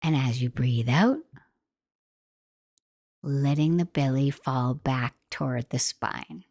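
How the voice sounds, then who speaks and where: A woman guiding a meditation in a gentle, soothing, unhurried manner, like soft instructional narration. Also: very deep voice, dry recording. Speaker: meditation guide.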